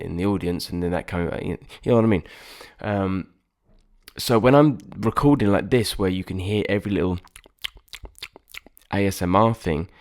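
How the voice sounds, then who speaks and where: Low, nasal self-doubting singer vlogging. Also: male voice, a little mellow. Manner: rambling, self-deprecating, frequent restarts. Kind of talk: reflective casual vlog talk.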